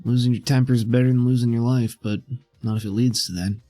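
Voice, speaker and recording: A man's voice: mid-range voice, steady tone, quick pace, mellow, no room sound, clean studio sound, soft background music, natural voice. Man playing a pragmatic, hard-bitten survivor. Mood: matter-of-fact.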